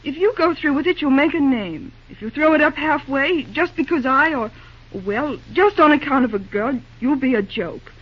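An actress playing a prim, earnest young secretary in an old-time radio drama, proper, guarded and hesitant. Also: female voice, high voice, expressive, moderate pace, dull audio. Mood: earnest, reproving.

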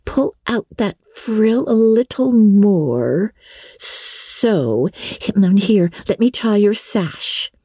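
A woman's voice: mid-range voice, expressive, extremely slow, warm tone, breathy edge, dry recording, studio quality, pitched above the performer's own voice, exaggerated voice. A woman voicing a vivacious, bossy and affectionate teenage girl who fusses over her best friend in a storybook reading. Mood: bossy, fussing. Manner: gushing, decisive, affectionate.

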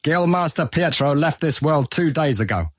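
A man's voice: fairly high pitch, animated, normal speed, no room sound, studio quality. A man playing a hostile, contemptuous armed guard in a fantasy audiobook drama. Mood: cold, suspicious. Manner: contemptuous, threatening. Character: hostile, sneering armed male merchant-guild guard. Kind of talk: full-cast fantasy audiobook acting.

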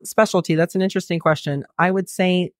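A woman's voice: on the low side, steady tone, a little fast, dry recording. A woman who owns a flooring company, interviewed on a radio show, talking in an upbeat, businesslike way into a close studio mic. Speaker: flooring company owner, guest. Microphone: close studio mic with headphones.

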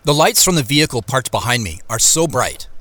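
A man's voice: mid-range voice, very animated, a little fast, a bit bright, dry recording. An actor playing a guarded, skeptical, uneasy tech-celebrity man in an audio drama, speaking warily. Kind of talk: audio drama acting with first-person narration.

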